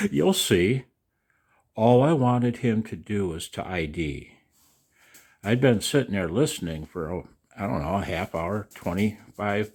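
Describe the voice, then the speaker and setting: An older man talking casually and in a rambling way to his viewers as a video host, folksy and halting, with a gravelly voice. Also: on the low side. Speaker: amateur radio operator and video host. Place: outdoors in the cold.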